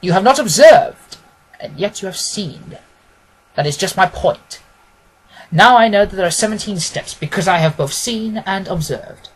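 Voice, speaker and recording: Man acting brisk, animated, self-assured detective, husky-edged. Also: high-pitched, low-quality recording. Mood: emphatic, instructive. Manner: didactic, emphatic, clipped. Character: brisk, self-assured male detective, keenly observant, gently mocking. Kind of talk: dramatised audiobook reading.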